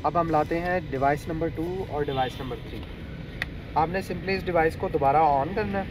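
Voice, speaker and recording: Male tutorial host, slightly high voice, casual and explanatory, English words mixed in, music clearly behind. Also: moderate pace, poor audio. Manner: explanatory, mixes English words into his speech. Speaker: motovlog host giving a product tutorial. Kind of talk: casual step-by-step how-to narration. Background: background music.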